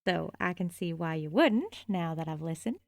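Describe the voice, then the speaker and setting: A woman's voice: fairly low pitch, expressive, normal speed, creaky, no room sound, clean studio sound. A woman playing a teasing, nosy young friend. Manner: teasing and probing. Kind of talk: radio drama acting.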